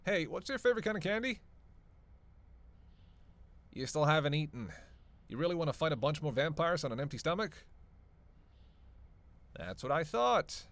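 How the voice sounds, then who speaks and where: A man's voice: fairly high pitch, sing-song, moderate pace, pauses often, a bit bright, no room sound. A man playing a flirty, sardonic vampire in a roleplay audio drama, with casual, teasing, profanity-laced banter. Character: flirty, sardonic male vampire on a road trip.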